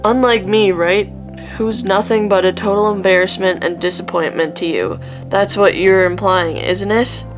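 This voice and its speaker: A woman's voice: mid-range voice, fairly even intonation, moderate pace, lightly acted. A woman playing a scornful, sarcastic teenage older sister in a radio drama. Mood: bitter. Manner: snide, sarcastic. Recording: music under the voice.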